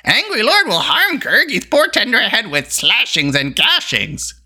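A storybook reader voicing a timid yet boastful, loyal creature with an excitable, pleading manner. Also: mid-range voice, a little slow, husky, pitched above the performer's own voice, exaggerated voice. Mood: frightened. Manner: excitable, pleading, self-praising.

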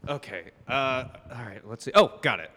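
Befuddled doctor for radio comedy, male performer: halting, muttering, very animated pitch, echoey hall sound. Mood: puzzled. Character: absent-minded, befuddled male doctor investigating strange cases. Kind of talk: improvised radio play parody.

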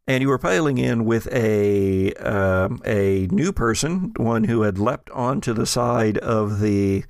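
Unhurried, creaky-voiced man running a game. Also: on the low side, lively intonation, a little mellow, no echo, studio quality. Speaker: game master of a podcast. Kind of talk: casual improvised tabletop game narration.

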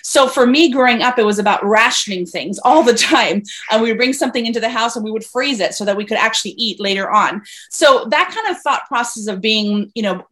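A woman from Venezuela speaks as an investor guest in a casual interview over a video call. She talks in a loose, chatty way, often restarting her sentences and filling pauses with "um". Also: mid-range voice, a bit bright. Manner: chatty, many fillers and restarts.

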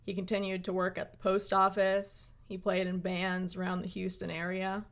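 A woman narrating a documentary story in an even, measured way. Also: medium pitch.

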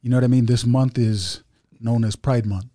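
Male podcast guest, easygoing and talkative, mellow tone, dry room. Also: medium pitch, steady tone, normal speed, no echo. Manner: laid-back, chatty, many fillers and asides. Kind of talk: casual podcast chat.

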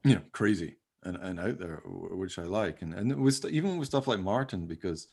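A man with a fairly low voice talks casually as a podcast co-host. He rambles and pokes fun at himself, keeping things informal. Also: lively intonation, a little fast, vocal fry, darkish tone, slightly rough. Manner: rambling, self-deprecating, jokey. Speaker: podcast co-host, radio play writer. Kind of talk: casual behind-the-scenes chat.

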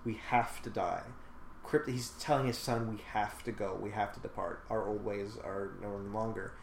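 A man's voice: lowish voice, fairly even intonation, moderate pace. A man in a casual film discussion, talking thoughtfully at length with many fillers. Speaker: podcast host discussing a film. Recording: echoey room with faint noise.

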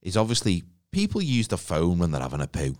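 A man's voice: low voice, wildly swooping pitch, fairly quick, a little mellow, no room sound, studio quality. A man with a Liverpool accent, co-hosting a podcast, telling rambling, jokey stories in casual chat. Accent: Liverpool English. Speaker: podcast co-host.

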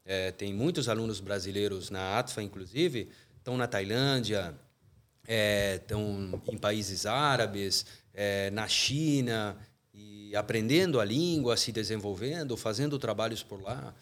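Low-voiced man, firm and emphatic. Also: measured pace, a bit bright. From Argentina. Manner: firm, emphatic, searching for words. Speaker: football coaching association coordinator, guest. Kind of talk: podcast interview answers.

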